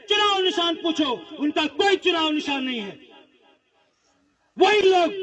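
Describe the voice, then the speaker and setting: A man's voice: very high-pitched, sharp tone. A man gives a political speech at a public rally, speaking in emphatic, repetitive phrases. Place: public address before a gathering. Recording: dull lo-fi audio, crowd sounds.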